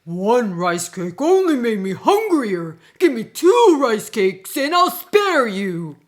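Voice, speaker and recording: A ravenous storybook tiger, acted out by a woman, speaks high with wide, swooping pitch. He is cunning and impatient, drawing out his loud demands at a slow pace. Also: big pitch swings. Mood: hungry, menacing. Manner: loud, demanding, drawn-out exclamations.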